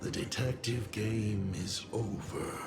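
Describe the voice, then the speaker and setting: A sly masked game villain. His low voice is gravelly and creaky, smug and taunting, drawn out slowly over music. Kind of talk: dramatic game voice acting.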